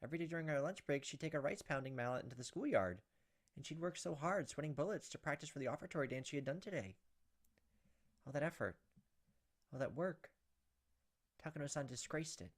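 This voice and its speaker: A man's voice: fairly high pitch, brisk, pauses often. A man reading a visual novel aloud as a let's player, telling it dramatically and acting out the lines. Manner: expressive, storytelling, acts out the lines. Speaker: video game let's player. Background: game music.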